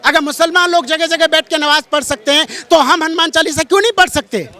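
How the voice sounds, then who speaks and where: A man with a strikingly high, sharp and forceful voice holds forth as a religious interviewee. His delivery is preachy and emphatic, and he hammers points home by repeating his phrases. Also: fairly quick. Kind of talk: impassioned street-interview polemic.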